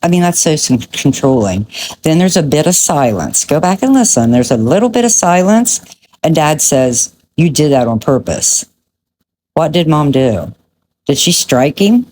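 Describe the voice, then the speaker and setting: A woman with a low voice gives conversational true crime commentary. She is blunt and judgmental, leaning hard on her points. There is noticeable noise behind her. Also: sing-song, moderate pace, darkish tone, poor audio.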